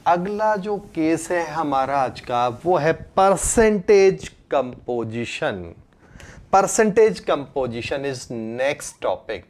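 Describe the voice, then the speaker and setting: A man's voice: fairly high pitch, animated, very slow. A man with an Indian accent teaching a live online chemistry class, informal, mixing in English terms and often checking that students follow with short questions. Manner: mixes English terms into Hindi.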